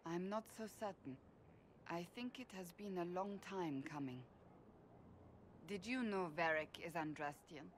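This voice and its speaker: A low-pitched, earnest warrior woman of faith, acted by a woman for a video game. She speaks formally and deliberately, stern and blunt, over faint noise. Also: lightly acted. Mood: earnest conviction. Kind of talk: scripted video game dialogue.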